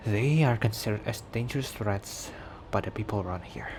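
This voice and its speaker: A man playing a cocky, protective young werewolf stranger in an intimate ASMR roleplay, talking in a teasing, halting conversational way. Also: fairly low pitch, noisy background, natural voice. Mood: cautioning, earnest. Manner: teasing, breathy sighs and chuckles, halting conversational delivery. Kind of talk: ASMR roleplay acting, intimate one-sided dialogue.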